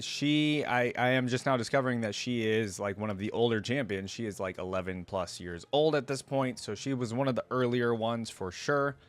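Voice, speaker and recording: A man hosting a reaction video, giving casual, informal commentary and thinking out loud with enthusiasm. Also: mid-range voice. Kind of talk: casual reaction commentary with some reading aloud.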